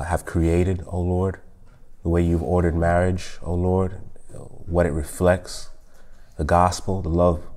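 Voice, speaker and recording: A man in his thirties teaching a church Sunday school lesson, a little nasal from a cold, measured and fond of asking "right?". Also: low voice, a little mellow. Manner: measured, repeats "O Lord" and "right?". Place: church meeting room at a table.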